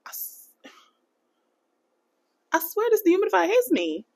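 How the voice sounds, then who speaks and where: An American woman chatting casually in a budgeting vlog, animated and playful, laughing often and dropping into a Jamaican accent for bits. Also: very high-pitched, unhurried. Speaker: budgeting vlogger.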